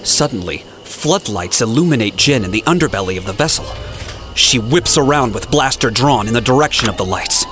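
A man's voice: mid-range voice, lively intonation, a little fast, a bit bright, faint music behind. A man narrating an audio drama in a scripted, dramatic storytelling style.

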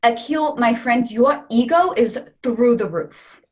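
Woman playing young new girlfriend in a stage play, slightly high voice, blunt, gets defensive. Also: slow pace. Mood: teasing, guarded. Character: defensive, frank young Brooklyn girlfriend, well-meaning.

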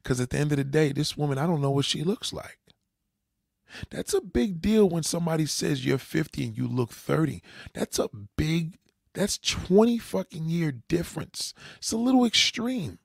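A man with a gravelly, fry-edged voice at an ordinary pitch lectures bluntly, swearing now and then. He keeps checking that the listener understands. The sound is dry and close. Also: lively intonation, moderate pace, no room sound. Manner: lecturing, repeats "you understand?". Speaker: dating advice commentator. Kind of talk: reaction commentary.

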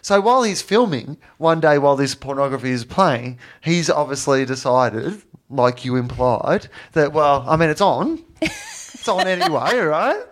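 Lively man telling a comic anecdote. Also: on the high side, unhurried. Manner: animated anecdote telling, hesitant "uh"s. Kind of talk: casual chat, comic storytelling.

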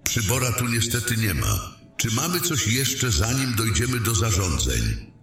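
Man acting a dignified, low-voiced king in echo. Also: unhurried, exaggerated voice. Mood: firm. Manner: calm, formal, authoritative. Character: measured, dignified male king presiding over council. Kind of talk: fantasy audio drama acting. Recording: very echoey hall sound.

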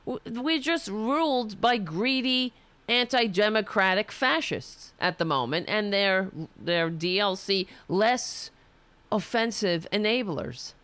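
A woman's voice: medium pitch, expressive, slow, fairly bright tone, clean tone, no echo. A woman hosting a political show, delivering an impassioned, ranting monologue while losing her voice. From United States. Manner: fiery, ranting, restarts mid-sentence.

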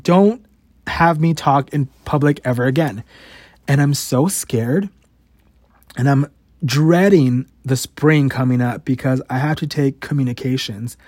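A man's voice: mid-range voice, measured pace, a little mellow, no room sound. A man in his late twenties talking casually on a podcast, stuttering often, restarting words and swearing. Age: 20s. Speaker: podcast host. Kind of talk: casual confessional monologue.

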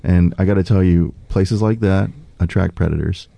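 A man's voice: low-pitched, steady tone, normal speed, creaky, dark tone, dry recording. A middle-aged man from the United States, a political candidate speaking earnestly in a conversational interview, with many fillers and restarts.

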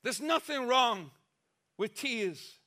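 A man preaching an impassioned sermon with a repetitive, emphatic cadence that rises to shouts. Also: very high-pitched, animated, slow.

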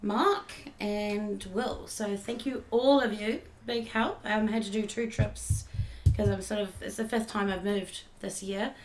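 A woman's voice: medium pitch, steady tone, a little slow, slight background noise, poor audio. A woman, co-hosting a casual podcast chat and laughing often. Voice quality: raspy. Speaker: podcast co-host. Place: home at night.

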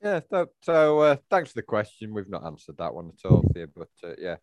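A man's voice: on the low side, sing-song, brisk, darkish tone, no room sound. A middle-aged man, co-hosting a casual chat and rambling through answers with lots of fillers and restarts. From England. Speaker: podcast co-host.

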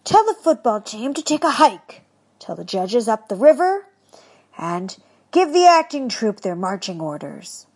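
A woman's voice: a little high, big pitch swings, unhurried, a little mellow, slightly rough, voice pushed up, heavily acted. A woman doing a chuckling old rabbi. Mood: amused. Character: kindly old male rabbi, amused and patient.

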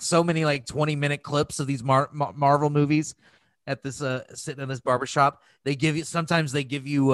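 A man's voice: on the high side, fairly bright tone, no echo. A man chatting casually on a podcast, telling stories in a rambling, loose way with some swearing. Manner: profane, rambling storytelling. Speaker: podcast host. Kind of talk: casual conversational podcast chat.

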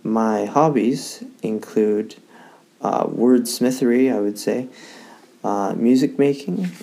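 A man from Victoria, introducing himself in a halting, hesitant way full of fillers and restarts. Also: medium pitch, dark tone, rough recording. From Victoria, Canada. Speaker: social media creator for a youth advocacy office. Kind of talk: personal self-introduction.

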